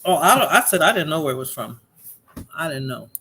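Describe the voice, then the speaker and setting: A woman's voice: low voice, animated, a little fast, sharp tone, slightly rough. A woman with a Bronx accent hosting a casual livestream chat, laughing often and repeating herself. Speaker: livestream host.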